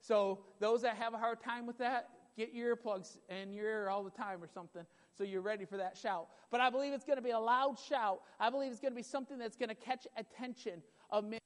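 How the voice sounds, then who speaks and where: A man preaching a sermon with a loud voice, emphatic and repetitive, building in intensity. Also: very high-pitched, a little fast, hardly any pauses. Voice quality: loud voice at times. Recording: long hall reverb.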